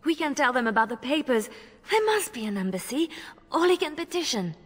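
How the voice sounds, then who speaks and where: A woman's voice: sits high, big pitch swings, fairly quick. A woman playing an earnest, principled young female spy in a video game, with a hesitant, pleading manner. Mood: anxious.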